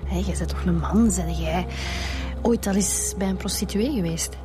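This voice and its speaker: A woman's voice: on the low side, moderate pace, music in the background, lightly acted. A woman playing a playful, curious police detective chatting with colleagues in a radio drama, informal and a little hesitant, with a Flemish accent. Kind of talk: naturalistic radio drama dialogue.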